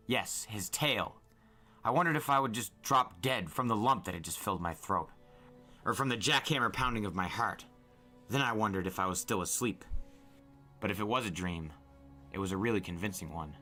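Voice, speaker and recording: Bright, low-voiced male storyteller. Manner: expressive, suspenseful first-person reading. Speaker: narrator and reader. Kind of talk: dramatic audiobook-style storytelling. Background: music bed.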